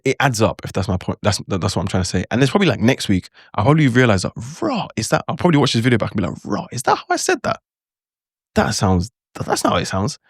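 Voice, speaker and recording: A young man talks casually about learning a language, chatty and animated, acting out his own reactions. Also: mid-range voice, no room sound, clean studio sound. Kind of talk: casual talking-head advice.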